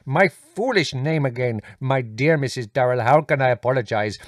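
A man voicing an excitable, earnest male guest who speaks in a pedantic, effusive, formal way. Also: medium pitch, normal speed, dry recording, clean studio sound, pitched above the performer's own voice, close to an everyday voice.

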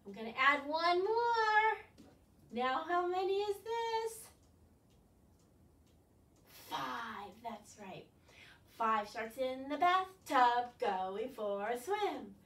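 An older woman leading a children's storytime as a librarian, animated and instructing, talking directly to young viewers with questions. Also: high voice, slow pace. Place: small living room, indoors. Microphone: far camera. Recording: roomy, distant camera microphone.

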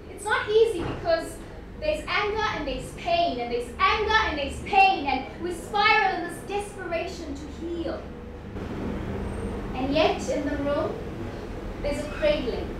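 South african woman doing spoken word, dramatic n slow, really echoey and far from the mic. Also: very high voice. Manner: dramatic, deliberate, emphatic delivery with pauses and repetition. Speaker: poet performer. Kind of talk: spoken-word poetry performance. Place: live event in an echoey indoor venue. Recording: echoey room, distant mic, clear background noise.

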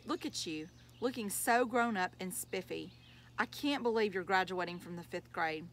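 A woman's voice: mid-range voice, normal speed, a little hiss or hum, close to an everyday voice. A woman voicing a boy's doting, easygoing father in a storybook, affectionate and coaxing. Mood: proud.

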